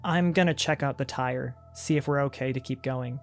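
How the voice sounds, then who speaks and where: A man's voice: medium pitch, normal speed, faint music behind, close to an everyday voice. Man reading as young dad, Southern twang, strained, apologetic.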